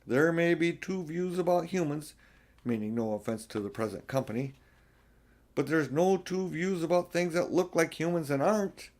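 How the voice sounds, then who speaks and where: A man's voice: on the high side, normal speed, a little mellow, voice pushed up, lightly acted. A man voicing an earnest, cautious male beaver in a storybook, explaining things in an emphatic, folksy way. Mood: cautionary. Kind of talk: storybook character voice.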